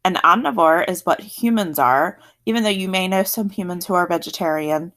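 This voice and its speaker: A woman's voice: lowish voice, moderate pace, fairly bright tone. A woman teacher with a South Jersey accent giving a recorded science lesson, explaining in a friendly, chatty way and correcting herself as she goes.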